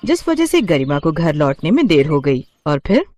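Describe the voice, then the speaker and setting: A woman's voice: deep voice, big pitch swings, moderate pace, a little mellow, dry recording. A woman narrating a cartoon story.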